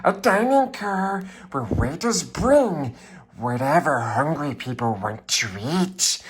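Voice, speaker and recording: A snooty passenger locomotive from a storybook, played by a man, boasts in a rough rasp. He speaks slowly and dismissively, looking down on everyone. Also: a little high, lively intonation, exaggerated voice. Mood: haughty, boastful. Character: snooty, haughty shiny new passenger train engine, male. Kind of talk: storybook character voice.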